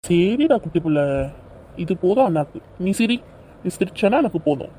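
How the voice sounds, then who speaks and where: A young man playing a soldier son who gently reassures and pleads with his family before leaving. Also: sits high, lively intonation, moderate pace, slight background noise. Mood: gentle.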